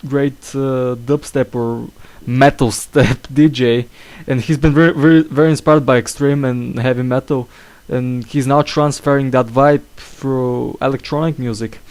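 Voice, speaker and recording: A man's voice: mid-range voice, fairly even intonation, unhurried, hardly any pauses, a little mellow. A young man hosting a casual podcast, with a slight cough, talking hesitantly and stumbling through fillers and restarts. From England. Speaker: podcast host. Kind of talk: casual chat.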